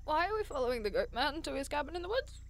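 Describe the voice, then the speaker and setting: A woman's voice: sits high, sing-song, fast. A woman playing a wary, blunt young sidekick in an audio drama, sceptical in her manner. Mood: uneasy.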